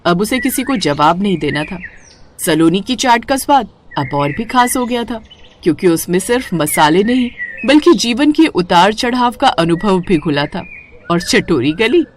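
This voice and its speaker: A woman's voice: mid-range voice, animated, moderate pace, slight background noise. A woman narrating a scripted cartoon moral story as a storyteller.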